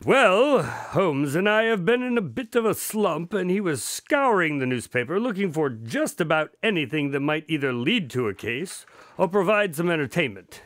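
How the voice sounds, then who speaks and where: A man acts out a wry doctor and storyteller for a radio drama. His voice is bright and rather high, swinging with exasperated emphasis. The sound is close and dry. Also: big pitch swings, normal speed, no echo. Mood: playful storytelling.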